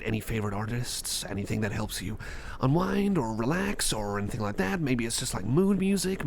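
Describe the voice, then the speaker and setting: A man's voice: medium pitch, gravelly. A man playing a jittery, socially anxious, over-caffeinated guy in an ASMR roleplay, rambling and stammering as he repeats himself. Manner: rambling, stammering, repeats phrases, self-doubting. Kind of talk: ASMR roleplay monologue addressed to the listener.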